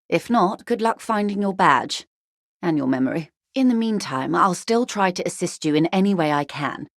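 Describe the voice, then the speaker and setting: A woman playing a composed, tactful corporate negotiator in a video game, speaking in a formal, measured and diplomatic way, firm but courteous. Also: low-pitched, no room sound, studio quality. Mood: regretful, courteous. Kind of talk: video game character dialogue.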